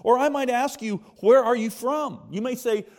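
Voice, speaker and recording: A man's voice: very high-pitched, normal speed, darkish tone. An American man giving a sermon in a conversational way, asking rhetorical questions and repeating points for emphasis. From United States. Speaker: preacher.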